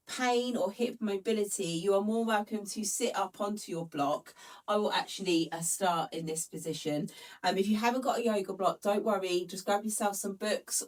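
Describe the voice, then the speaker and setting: A woman leads a slow Pilates class in a gentle, steady voice, her nose a little stuffed up from hay fever. Her voice is picked up from some distance from the mic. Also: mid-range voice. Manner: calm, gentle cueing. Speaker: Pilates instructor. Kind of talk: guided follow-along workout instruction.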